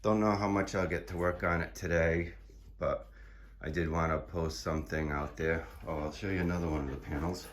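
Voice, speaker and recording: A hoarse man casually walking through his build in a garage workshop, explaining with many fillers and restarts. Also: low voice, fairly even intonation, fairly quick. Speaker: car builder showing his project.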